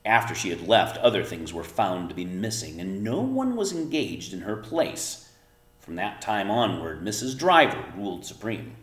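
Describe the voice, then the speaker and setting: A man reading a storybook aloud as narrator, with expressive, emphatic phrasing and casual explanatory asides. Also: on the low side, moderate pace, reverberant, faint music behind.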